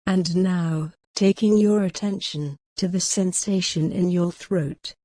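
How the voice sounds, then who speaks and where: A woman narrating a guided meditation in a measured, instructional manner, pausing gently between phrases. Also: on the low side, no room sound, studio quality.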